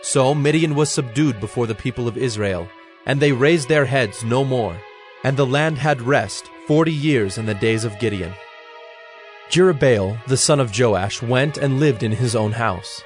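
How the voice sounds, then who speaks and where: Mid-pitched solemn male scripture narrator. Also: faint music behind. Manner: measured, solemn.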